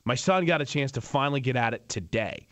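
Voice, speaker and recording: A man's voice: mid-range voice, lively intonation, quick pace, a bit bright, no echo. A man in his thirties hosting sports talk radio, giving emphatic, opinionated takes in conversational banter. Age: 30s.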